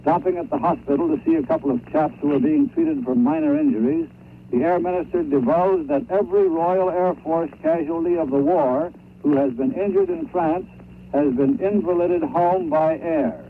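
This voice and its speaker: Animated man on muffled 1930s radio. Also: on the high side, moderate pace, gravelly, slight background noise. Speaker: radio war correspondent. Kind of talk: scripted 1930s radio storytelling report.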